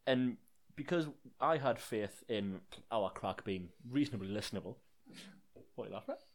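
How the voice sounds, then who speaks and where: A man's voice: on the low side, expressive, normal speed. A young man co-hosting a casual podcast, with a dry manner and casual swearing. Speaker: podcast co-host. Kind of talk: casual chat.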